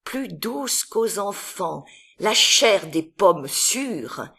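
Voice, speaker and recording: Woman reciting poetry very slowly. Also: medium pitch, gravelly, breathy edge. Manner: expressive, deliberate, with long pauses between phrases. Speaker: poetry reader. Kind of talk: dramatic literary poetry recitation.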